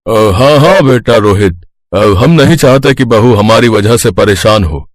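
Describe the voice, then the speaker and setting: Man acting a mild elderly father-in-law, low-pitched. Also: animated, measured pace, dry recording, studio quality. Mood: mild, accommodating. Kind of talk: acted dialogue in a moral story.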